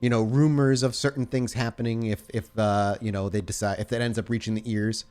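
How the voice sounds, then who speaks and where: A man's voice: lowish voice, little pitch movement, brisk, a little mellow, clear, resonant tone. A man speaking as a podcast host and game storyteller, with an informal delivery. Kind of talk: casual podcast chat, then slow scene narration for a role-playing game.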